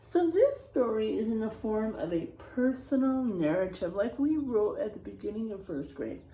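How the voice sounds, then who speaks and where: A woman teacher reading a picture book aloud to her first-grade class, gently asking them questions along the way. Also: fairly high pitch, moderate pace, poor audio. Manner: animated, gentle, asks the children questions.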